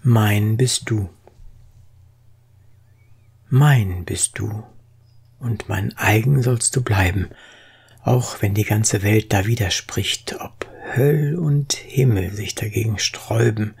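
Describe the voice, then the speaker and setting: A reader reciting poetry in a solemn, expressive way, with deliberate phrasing and a breathy, husky voice. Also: mid-range voice.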